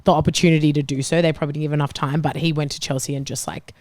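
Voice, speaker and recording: Guy on a footy panel, casual, kinda halting and hedging. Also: a little high, flat delivery, no room sound. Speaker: panellist on a football show. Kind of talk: casual chat.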